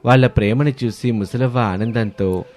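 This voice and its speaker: A man's voice: medium pitch, normal speed, darkish tone. A man narrating a scripted moral story. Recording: music behind the voice.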